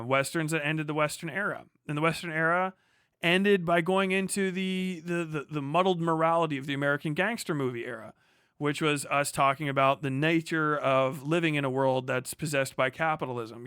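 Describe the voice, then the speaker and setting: A man with a clear, bright and fairly high voice talks through a livestream monologue. He wanders from point to point, gives firm opinions and swears freely. The sound is close and dry. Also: dry recording. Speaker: livestream host. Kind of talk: rambling livestream monologue on film and culture.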